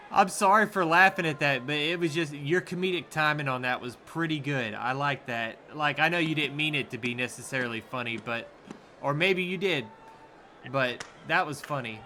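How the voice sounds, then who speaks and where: A man's voice: a little high, sharp tone, slight background noise. A man with a Southern US accent giving laid-back, rambling sumo commentary, laughing easily. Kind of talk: casual live commentary.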